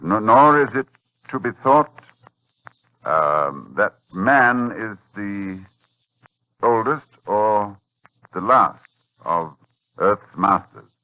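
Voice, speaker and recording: A man playing a learned, shaken older professor in 1940s radio horror, speaking in a halting, grave, dramatic way. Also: lowish voice, animated, no echo. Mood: ominous, halting. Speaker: narrator and lead actor. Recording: muffled 1940s radio recording with music.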